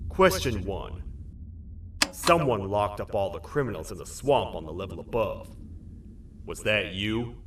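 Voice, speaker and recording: An actor playing a massive, gruff toad sheriff who talks like a stern frontier lawman. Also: on the low side, animated, measured pace, long pauses, a bit bright, a little hiss or hum. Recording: echoey game audio from a distance, light music.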